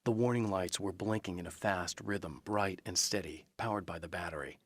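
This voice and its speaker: An actor playing an earnest, serious writer turned fighter against darkness in a video game, speaking in a measured way. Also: male voice, low voice, no echo. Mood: grim, narrating. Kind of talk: video game acting, dialogue and reflective monologue.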